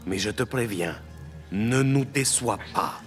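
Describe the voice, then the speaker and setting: Un acteur joue un chef de famille sévère et intimidant, autoritaire et solennel, dans une fiction audio. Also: male voice, lowish voice, takes it slowly, faint music behind. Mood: cold, stern. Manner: authoritative, cold, formal. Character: stern, intimidating adult male head of a clandestine family.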